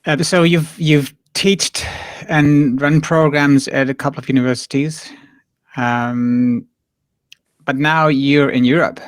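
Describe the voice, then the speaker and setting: A man's voice: fairly high pitch, takes it slowly, no echo. A man hosting a podcast interview, talking conversationally with hesitations and filler words. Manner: conversational, hesitant with fillers, animated when quoting others. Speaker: podcast host.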